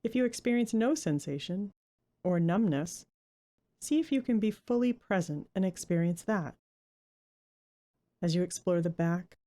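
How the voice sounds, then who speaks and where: Female meditation guide, lowish and very soft-edged in tone, gentle, unhurried delivery with generous pauses. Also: lively intonation.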